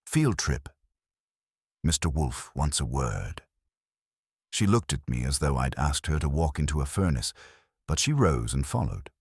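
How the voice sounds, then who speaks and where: A man's voice: extremely low pitch, husky, no echo, clean studio sound. A man reading a first-person detective story as an audiobook narrator.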